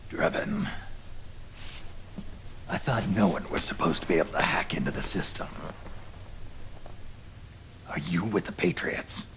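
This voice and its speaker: An actor playing a grizzled, wary older soldier in a game cutscene, growling tersely in a gravelly whisper. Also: male voice, low-pitched, animated, a little slow, long pauses, a bit bright, slight background noise. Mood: wary, probing. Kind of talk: video game cutscene acting.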